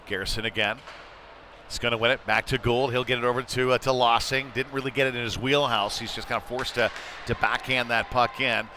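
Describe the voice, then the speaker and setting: A man's voice: medium pitch, steady tone, brisk, bright, forceful tone. Male hockey play-by-play announcer in noisy rink. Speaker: play-by-play commentator. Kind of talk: live ice hockey commentary. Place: indoor youth hockey arena. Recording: noisy arena with clattering ambience.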